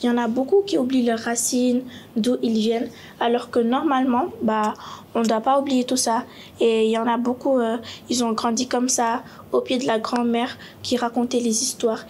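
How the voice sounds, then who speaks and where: A young woman from Senegal speaks plainly in a class book discussion. Her voice is high and flat, with a faint hiss behind it. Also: normal speed.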